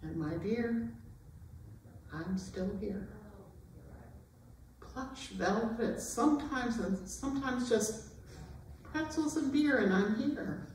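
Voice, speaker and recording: A woman acting a world-weary, wry veteran actress, reciting theatrically with dramatic pauses. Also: fairly high pitch, lively intonation, darkish tone, slight background noise. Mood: wry, defiant. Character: brassy, world-weary veteran showbiz actress, proud survivor. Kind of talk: theatrical recitation of show-tune lyrics. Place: performance hall, recorded from a distance. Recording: very echoey hall, distant microphone.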